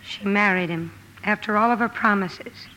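A woman's voice: medium pitch, moderate pace, bright, forceful tone, a little hiss or hum, natural voice, rough recording. An actress playing a headstrong, earnest young woman writer in a 1950s radio drama, with emphatic delivery. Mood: pained, earnest.

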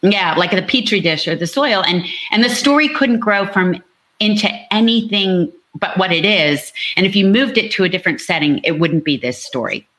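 A woman's voice: mid-range voice, animated, normal speed, a bit bright. A woman novelist talking about her work on a panel, earnest and storytelling, repeating phrases for emphasis. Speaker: novelist, interviewee. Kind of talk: animated book talk on an online panel.

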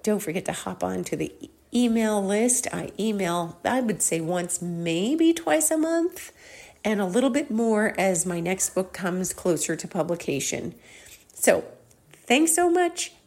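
A woman's voice: mid-range voice, expressive, a little slow, gravelly, darkish tone. An older woman hosting a podcast, speaking in a grateful, effusive way as she introduces her guest. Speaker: podcast host.

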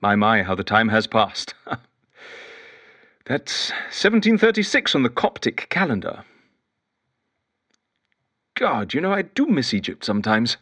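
A man's voice: mid-range voice, very animated, a little slow, pauses often, pushed and bright, full character voice. An actor playing a lonely, eccentric male detective who rambles fussily and whimsically into an audio diary in an audio drama. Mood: musing. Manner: fussy, whimsical, wistful, digressive. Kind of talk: audio drama monologue as spoken journal.

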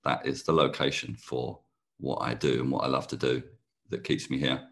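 A man from Kent, a podcast guest, talking in a relaxed, enthusiastic way with a slightly croaky voice. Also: a bass voice, normal speed. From Kent, England. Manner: relaxed, enthusiastic, laughs easily. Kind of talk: casual interview chat.

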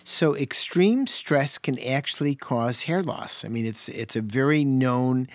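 A man's voice: medium pitch, a little slow, no echo, studio quality. A man on a radio health call-in show, explaining things in an easygoing way and often restarting sentences.

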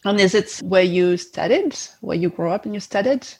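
A woman's voice: mid-range voice, normal speed, breathy edge. A woman hosting an interview, reading a scripted introduction of her guest and then asking questions. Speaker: interview host.

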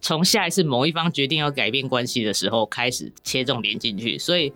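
A woman's voice: rumbling low, a little fast, pushed and bright. A woman with a Taiwanese Mandarin accent chats casually and candidly as a guest novelist, joking often and poking fun at herself. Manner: self-deprecating, joking, giggly. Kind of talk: casual, candid podcast chat.

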